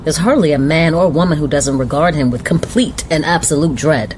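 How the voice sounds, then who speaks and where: A woman playing a composed but fearful woman on the run in a radio drama, grave and measured. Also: lightly acted. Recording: noisy radio drama, train rumble behind.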